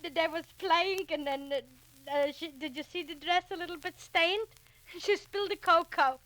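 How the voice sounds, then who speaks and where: A warm, flustered immigrant mother in a 1940s radio comedy-drama. Her voice is high and bright, with a New York Yiddish accent. She repeats herself effusively and turns her phrases around. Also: normal speed, poor audio. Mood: cheerful, gushing.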